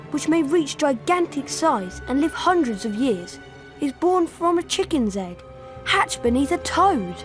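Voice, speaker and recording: A woman voicing an earnest, determined schoolboy wizard of about twelve in a video game. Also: high voice.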